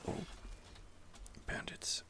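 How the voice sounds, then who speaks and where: A man whispering ASMR commentary while he plays a video game, musing aloud with many fillers. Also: very slow. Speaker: game player and ASMR host.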